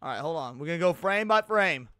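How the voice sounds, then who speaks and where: A man with a high, clear voice streams casual reaction commentary. He talks in loose slang with plenty of swearing and an excitable manner. The sound is close and very dry. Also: fast talker, no echo. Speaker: streamer reacting to horror videos.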